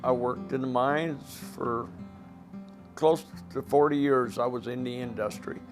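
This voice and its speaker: An older man with a raspy voice, a former coal miner speaking plainly and indignantly in a documentary interview. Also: mid-range voice, fairly even intonation, unhurried, over music. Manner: plain-spoken, indignant, rhetorical questions.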